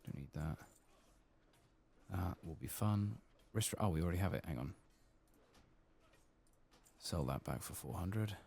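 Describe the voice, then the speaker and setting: Deep, creaky, soft-spoken ASMR gamer man. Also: animated, measured pace, pauses often. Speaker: gaming channel host. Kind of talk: soft-spoken, partly whispered ASMR game commentary.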